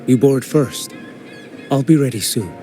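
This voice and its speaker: An actor voicing a calm, grave swordsman wanderer in a video game, speaking in a measured, solemn way. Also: mid-range voice, close to an everyday voice. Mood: cool. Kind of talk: game voice acting. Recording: game audio with music underneath.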